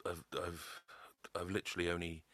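A man telling his own story as a podcast guest, halting often with a stammer. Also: low-pitched, monotone, fairly bright tone. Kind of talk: personal testimony.

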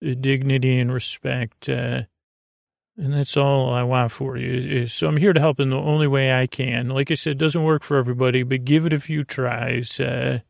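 A man hosting a sleep podcast with a rambling, improvised monologue, halting and meandering through tangents with whimsical, gently silly wordplay. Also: medium pitch, level tone, vocal fry, no room sound, studio quality. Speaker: host of a bedtime sleep podcast.

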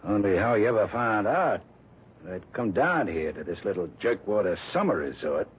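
An actor playing a tough, cocky crook on the run, talking slangy and menacing in a 1960s radio crime drama. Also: male voice, lowish voice, big pitch swings, normal speed, breathy edge, exaggerated voice. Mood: gloating threat. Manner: slangy, menacing wise-guy talk. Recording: muffled old radio broadcast, faint hiss.

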